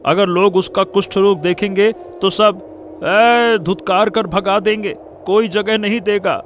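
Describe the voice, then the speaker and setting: A man's voice: high-pitched, fairly even intonation, a little slow, slight background noise. A man voicing a poor, protective village father in a Hindi cartoon story, earnest and often repeating himself. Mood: worried.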